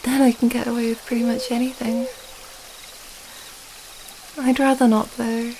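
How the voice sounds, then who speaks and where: A woman playing an earnest, affectionate, slightly flustered young princess in an intimate ASMR romance roleplay, speaking softly and hesitantly, rambling gently. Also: fairly high pitch, flat delivery, moderate pace, noisy background, lightly acted. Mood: reassuring, thoughtful.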